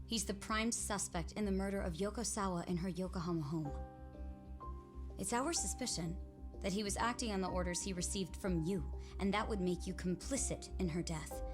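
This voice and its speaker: A woman's voice: on the low side, lightly acted. An actress playing a stern, accusatory female prosecutor in a video game, formal and pointed. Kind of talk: English video game dub, dramatic cutscene acting.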